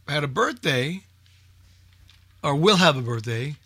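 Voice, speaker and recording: A man's voice: on the high side, very animated, a little slow, sharp tone, breathy edge. A man presenting a radio show in casual group chat, thinking aloud in a hesitant way.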